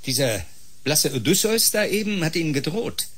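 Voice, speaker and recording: Radio drama role: goading, sarcastic male medic, slightly high and very animated. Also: moderate pace. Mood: mocking. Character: mocking, needling male clinic colleague. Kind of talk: German science-fiction radio drama acting.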